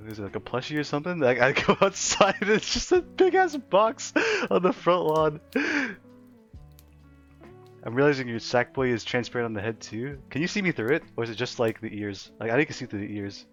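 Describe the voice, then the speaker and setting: A young man chats loosely with his stream, laughing often and trailing through fillers as he reads messages aloud. His voice sits at an ordinary middle pitch. The audio is a little dull and lo-fi, with faint music underneath. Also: big pitch swings, brisk. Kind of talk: casual livestream chat reading chat aloud. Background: faint background music.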